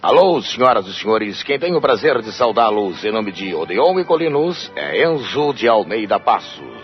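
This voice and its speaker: A man's voice: on the low side, sing-song, moderate pace. A man with a Brazilian accent presenting a vintage radio music show in a formal greeting style. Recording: muffled old radio archive with music.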